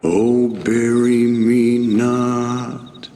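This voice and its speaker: A man singing a western folk ballad in a slow, drawn-out style. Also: mid-range voice, little pitch movement, warm tone. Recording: long hall-like reverb.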